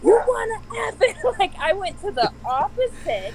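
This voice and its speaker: A woman with a soft voice chatting casually on a video call, laughing often as she tells stories. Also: very high-pitched, very animated, moderate pace, a little hiss or hum. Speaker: stream host. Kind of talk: casual group chat.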